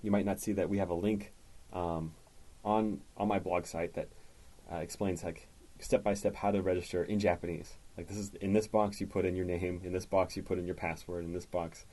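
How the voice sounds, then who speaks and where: Low, mellow-voiced male podcast host. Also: fairly quick. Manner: relaxed and chatty, reads listener mail aloud. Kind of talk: casual podcast chat.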